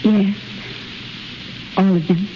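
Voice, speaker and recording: Female actor, young and self-sacrificing, plaintive 1930s radio heroine, mid pitch, faint background noise. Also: fairly even intonation, slow, natural voice. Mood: resigned. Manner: earnest, plaintive, melodramatic. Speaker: actress. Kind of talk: 1930s radio drama acting. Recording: dull, hissy 1930s radio recording.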